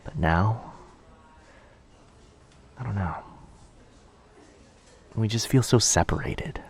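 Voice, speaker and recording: Man acting shy young guy, low creaky voice. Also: full character voice. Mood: earnest, wistful. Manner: hesitant, soft-spoken, breathy, self-effacing. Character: shy bookish young man, gentle, nervous coffee shop stranger. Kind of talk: intimate boyfriend ASMR roleplay.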